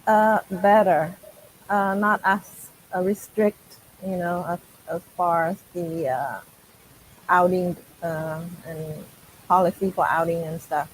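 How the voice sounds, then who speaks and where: A woman's voice: on the low side, fairly even intonation, takes it slowly, a little hiss or hum, poor audio. A woman guest in a casual online chat, hesitant and full of fillers. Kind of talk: casual video-call chat.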